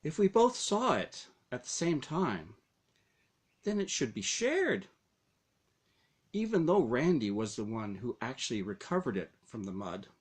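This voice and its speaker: A man narrates a scripted first-person story at an unhurried pace. His mid-pitched voice moves widely up and down, and he shapes each phrase deliberately, with a dramatic storyteller's touch. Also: big pitch swings, slightly rough. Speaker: author reading own story.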